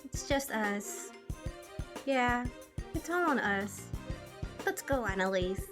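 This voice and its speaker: A woman's voice: on the high side, lively intonation, slow pace. A woman voicing a plucky, hot-headed teenage girl fighter in a video game, feisty, sarcastic and defiant. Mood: resolute. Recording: music behind the voice.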